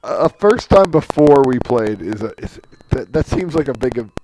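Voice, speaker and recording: A man's voice: mid-range voice, lively intonation, normal speed, a little mellow, a little hiss or hum, poor audio. A man in casual group conversation, questioning things skeptically. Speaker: podcast panelist discussing a visual novel. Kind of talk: casual chat.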